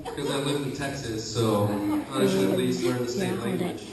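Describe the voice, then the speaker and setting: A man's voice: on the high side, lively intonation, normal speed, over loud music. Young man doing stand-up, echoey and distant. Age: young adult. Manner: self-deprecating, hesitant "I, uh" restarts. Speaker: stand-up comedian. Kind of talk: stand-up comedy set. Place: comedy show stage with live audience. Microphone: camera recording from a distance. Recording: echoey room from far off, audience laughter.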